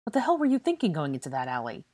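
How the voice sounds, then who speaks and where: Female narrator as an angry young male wizard, bitter, scolding, husky, dry close sound, audiobook dialogue. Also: low voice, wildly swooping pitch, racing, darkish tone, no room sound, heavily acted. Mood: disgusted, bitter. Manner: heated, scolding, British swearing.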